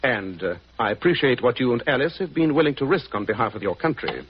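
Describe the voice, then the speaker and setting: Shrewd British detective, precise, authoritative, animated delivery, radio drama, dull recording with faint noise. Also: male voice, mid-range voice, quick pace. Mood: commanding. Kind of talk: old-time radio drama acting.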